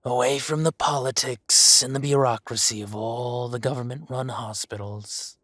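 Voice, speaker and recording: A man voicing a male dragon who poses as a mafia boss, speaking with slow, purring, mocking menace. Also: mid-range voice, fairly even intonation, a bit bright, no echo, clean studio sound, close to an everyday voice. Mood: silky menace. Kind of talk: roleplay audio drama.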